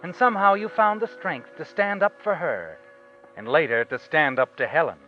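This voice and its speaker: Actor playing knowing narrator, man, insinuating, dramatic, 1940s radio mystery, dull old recording, soft music underneath. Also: on the high side, animated, normal speed, natural voice. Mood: sly, knowing. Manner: sly, taunting, dramatic.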